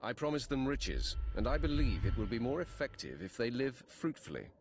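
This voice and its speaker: An actor playing a cold, ruthless Sith warrior in a video game, speaking in a curt, commanding, threatening way. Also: male voice, mid-range voice, sing-song, moderate pace, slight background noise. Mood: cold, assured. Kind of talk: cinematic video game acting.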